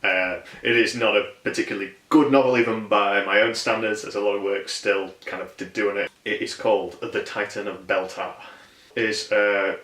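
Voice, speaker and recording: A low male voice with a bright, forceful edge, recorded in an echoey room. He speaks informally as an interviewed writer and is not shy of swearing. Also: fairly even intonation, normal speed. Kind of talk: casual interview talk.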